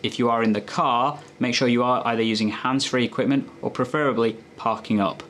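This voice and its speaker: A man's voice: medium pitch, steady tone, fairly quick, background noise. A man presenting health tips as a doctor, explaining in a conversational, instructive way to viewers.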